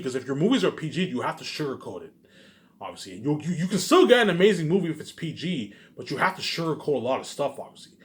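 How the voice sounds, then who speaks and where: Fast-talking, boastful man. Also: medium pitch. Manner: repeats himself, emphatic, asks and answers own questions; boastful, profane. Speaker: vlogger. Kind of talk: rambling casual monologue.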